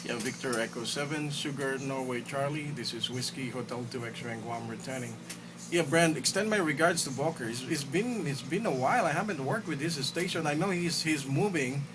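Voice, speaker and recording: A man talking casually as an amateur radio operator, halting and repeating phrases, in a noisy phone recording. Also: mid-range voice, far from the mic. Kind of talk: casual ham radio contact. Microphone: phone recording in radio room.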